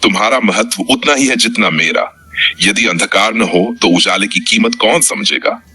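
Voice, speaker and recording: A man narrator puts on a radiant full-moon spirit, the kind elder sister of a storybook tale. The delivery is reassuring, in a low, bright voice with a raspy grain. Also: normal speed. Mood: gentle, reassuring.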